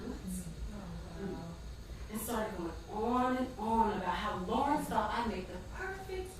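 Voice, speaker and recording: An actress playing a fiery, wronged woman confessing a painful secret in a dramatic, drawn-out stage monologue. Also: a little high, lively intonation. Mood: bitter, subdued. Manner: dramatic, emphatic, drawn-out delivery with long pauses. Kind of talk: theatrical stage monologue with singing. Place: stage in a theater hall. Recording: distant, echoey, noisy stage-play recording.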